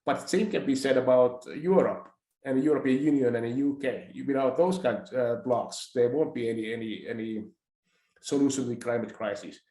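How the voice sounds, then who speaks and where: A man from Finland, an interviewer, making a counterpoint slowly with many hesitations and repeated words. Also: mid-range voice, mellow, reverberant, rough recording. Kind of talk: measured discussion.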